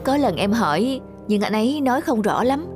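A woman voicing a gentle, lovelorn young office worker in an animated drama, earnest and soft-hearted. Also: fairly high pitch, moderate pace, music in the background. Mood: open, unsure.

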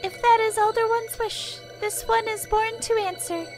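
Tiny obedient baby sylph for a game, extremely high squeak, faint music behind. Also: female voice, fairly even intonation, moderate pace. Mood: dutiful. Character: tiny, dutiful newborn baby sylph spirit.

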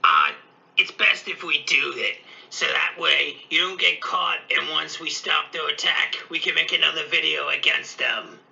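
A man as an irritable schoolboy, gravelly voice. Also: a little high, lively intonation, moderate pace, bright, forceful tone, dull audio, heavily acted. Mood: annoyed. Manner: exasperated, blunt. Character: irritable, foul-mouthed teenage schoolboy. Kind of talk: fan-made cartoon parody voice acting.

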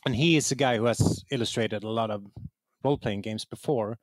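A man from southern Sweden, a native Swedish speaker with a southern Swedish accent, talking casually and haltingly as an interview guest. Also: lowish voice, dry recording. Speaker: interview guest, game writer.

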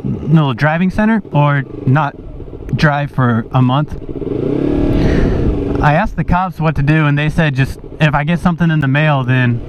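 A man's voice: on the high side, measured pace. A young man riding a motorbike through the city, telling a rambling story as he rides. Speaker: motovlogger. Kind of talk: casual riding commentary. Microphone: helmet-mounted action camera. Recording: muffled, with loud wind and traffic noise. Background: wind and traffic.

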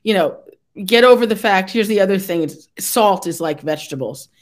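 A middle-aged woman hosting a video call interview from home, friendly with quick affirmations and opinions. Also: medium pitch, animated. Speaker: host. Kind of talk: casual interview chat. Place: home room with brick wall.

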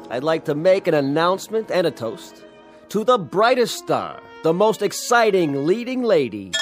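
An actor playing a grandiose, smarmy movie leading man in a radio play, declaiming like a toastmaster. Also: sits high. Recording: studio radio play with music behind.

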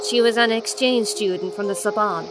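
A woman playing a calm, grave supernatural expert posing as a doctor in a radio drama, measured and gently apologetic. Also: medium pitch, loud music behind the voice, lightly acted. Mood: grave, probing.

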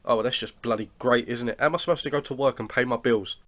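A man voicing a grumpy, sarcastic young man with a broken leg in an animated cartoon, speaking in a complaining way. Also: mid-range voice, fast talker, lightly acted.

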